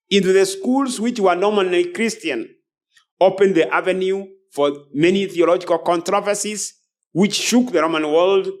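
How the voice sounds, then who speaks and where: A man preaching a sermon as a preacher, emphatic and repeating phrases as he goes. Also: sits high. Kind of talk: sermon with scripture and book readings.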